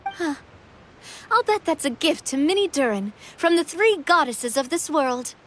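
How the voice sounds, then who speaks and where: High-voiced, animated young storyteller. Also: female voice, measured pace, a little hiss or hum. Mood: upbeat. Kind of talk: video game character acting.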